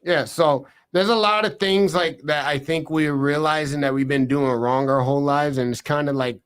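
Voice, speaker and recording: A man's voice: on the high side, steady tone, smooth. A man co-hosting a podcast, talking casually in loose slang. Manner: loose slangy talk, drawn-out asides. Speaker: podcast co-host. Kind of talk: casual chat.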